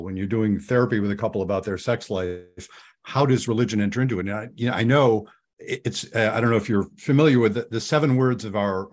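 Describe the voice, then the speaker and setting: A man hosting a therapy podcast, talking in a thoughtful, searching way with frequent restarts. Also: lowish voice. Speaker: podcast host, couples therapist. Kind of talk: casual podcast conversation.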